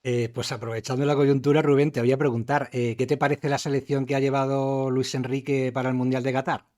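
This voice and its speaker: A middle-aged man from Spain, hosting an interview. His pitch is in the middle range, and he sets up long, friendly questions at a measured pace. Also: flat delivery, darkish tone. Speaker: podcast host and interviewer.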